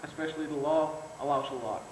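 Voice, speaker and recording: A man's voice: a little high, fast. A seventeen-year-old young man from the Netherlands giving a short, hesitant self-introduction as an exchange student, full of fillers and restarts. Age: teens. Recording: echoey room, mic far off, noisy.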